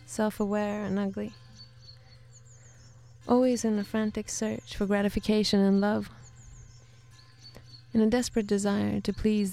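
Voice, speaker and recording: A woman from Sweden with a Swedish accent, chatting casually as a studio guest and then reading her poetry slowly and deliberately. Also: medium pitch, vocal fry, warm tone. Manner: laughs easily, reads poetry slowly and deliberately. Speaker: guest poet. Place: radio studio.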